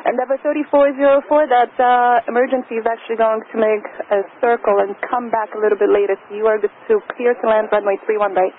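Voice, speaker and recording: Fast-talking female controller on tinny radio. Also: a little high, steady tone. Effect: aviation radio. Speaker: air traffic controller. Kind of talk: radio clearances. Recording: tinny air traffic radio, faint noise.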